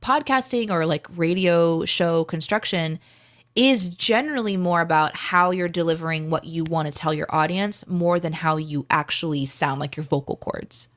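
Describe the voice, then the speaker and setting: A woman's voice: on the low side, moderate pace, a bit bright. A woman co-hosting a live show, chatting casually in an encouraging, chatty way. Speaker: co-host of a live stream and podcast. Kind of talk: casual livestream chat.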